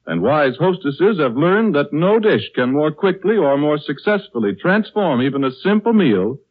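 Animated, high-pitched American male radio announcer. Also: moderate pace. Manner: formal, polished, emphatic delivery. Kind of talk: 1930s radio announcing, scripted. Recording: slightly muffled old 1930s radio recording.

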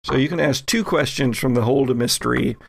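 A man acting as game master, narrating a tabletop roleplaying game in a halting, deliberate, improvised way. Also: mid-range voice, gravelly, no echo.

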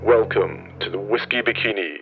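A man's voice: fairly low pitch, moderate pace, over music, lightly acted. A man playing an ominous announcer for a suspense radio show, with a dull radio-style sound.

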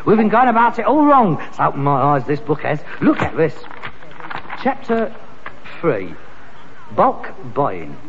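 Theatrical, high-pitched London son. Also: male voice, wildly swooping pitch, a little slow, long pauses, slight background noise, full character voice. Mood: scheming, persuasive. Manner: theatrical, sarcastic, indignant. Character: frustrated grown-up son, junk trader with big ideas. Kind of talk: 1960s British sitcom acting. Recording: dull, muffled old sitcom recording.

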